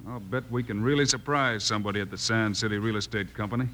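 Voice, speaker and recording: An actor playing a calm, shrewd, heroic cowboy lawman in an old western radio drama. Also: lowish voice, quick pace, loud music behind the voice, natural voice. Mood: resolute. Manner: assured, matter-of-fact. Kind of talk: 1950s western radio acting. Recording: slightly noisy old radio recording.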